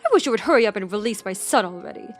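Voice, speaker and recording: A woman's voice: medium pitch, lively intonation, racing, faint music behind, close to an everyday voice. Woman putting on a haughty, nagging older grandmother. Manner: scolding, haughty. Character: spiteful, nagging older grandmother, openly playing favorites. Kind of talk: acted dialogue in narrated story.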